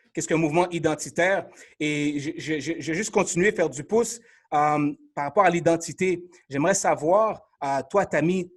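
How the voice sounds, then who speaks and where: A man with a Quebec French accent and a fairly high, husky voice hosts a casual panel interview. He probes his guests, restarting and rephrasing questions as he goes. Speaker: podcast host.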